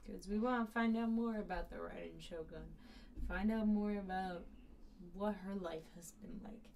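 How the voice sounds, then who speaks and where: A woman's voice: mid-range voice, moderate pace, gravelly, highs cut off, noisy background. A woman chatting casually as she streams a video game. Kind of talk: casual gameplay stream chat, some dialogue read aloud. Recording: distant voice under loud game music. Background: loud video game music.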